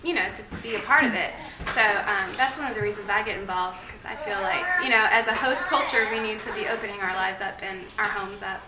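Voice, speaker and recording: A woman from the United States, a volunteer group member, chatting quickly in an interview with many "you know" and "um" fillers. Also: medium pitch. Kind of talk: interview answers. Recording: roomy, distant, with room noise.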